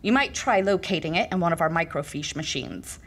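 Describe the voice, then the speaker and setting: Prim low-voiced woman librarian, acted. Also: animated, a little fast, slightly rough. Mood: coolly polite. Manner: formal, politely dismissive. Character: prim, unhelpful small-town female librarian. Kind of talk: audio horror drama acting.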